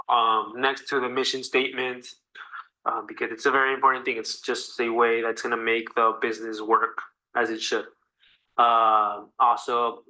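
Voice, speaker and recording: A young man from Mexico with a Mexican accent, earnestly giving a class presentation in a halting way over a video call. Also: medium pitch, pushed and bright, low-quality recording. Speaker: student presenter.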